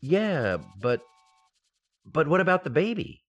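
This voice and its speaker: An actor playing an excitable, befuddled, affectionate husband in a 1940s-style radio sitcom, with stammering comic delivery. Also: male voice, fairly high pitch, unhurried, soft background music. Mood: excited, flustered.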